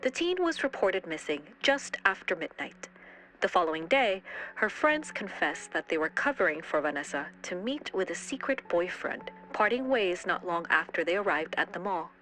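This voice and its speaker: A grave TV crime reporter reads out the facts of a disappearance in a crisp broadcast style. Her pitch sits low but swings widely, and her tone is bright, with a music bed beneath. Together these make a polished documentary segment. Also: sing-song, moderate pace, rough recording. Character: television news reporter narrating a case report.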